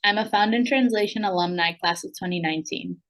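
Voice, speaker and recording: An American woman in the middle of her range reads a prepared speech at a graduation event. Her delivery is earnest and steady, as a program alumna speaking to new graduates. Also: mid-range voice, fairly quick. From United States. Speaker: program alumna and language coach.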